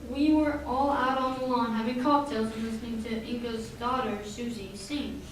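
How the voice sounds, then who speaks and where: A genteel, moneyed gentleman host from a radio play played by a woman, on the high side in pitch. The voice echoes heavily, set back from the mic. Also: fairly even intonation, normal speed, lightly acted. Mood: genteel, troubled. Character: genteel wealthy male estate owner hosting a reunion. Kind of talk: old-time radio drama acting. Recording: very echoey hall, distant mic, faint noise.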